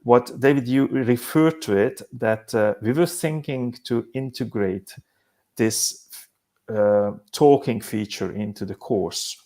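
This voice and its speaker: Hungarian-accented man, halting speech. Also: mid-range voice, a little mellow. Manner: halting, many restarts and repeated words. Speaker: guest, business simulation company head. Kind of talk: casual discussion.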